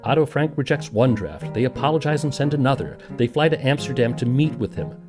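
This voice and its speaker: A man's voice: medium pitch, fairly quick, over music. A man narrating a scripted documentary podcast in a conversational, wry manner.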